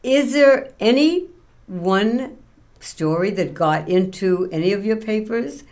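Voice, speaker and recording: A woman's voice: medium pitch, animated, slow, a little mellow. Woman in her late 80s chatting with guests as the host, conversational interview.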